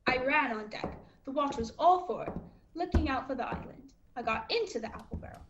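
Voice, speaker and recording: A woman's voice: high-pitched, animated, fairly quick, muffled recording, noisy background. A woman playing an earnest young cabin boy who narrates his sea tale in a scripted radio play. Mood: earnest, storytelling.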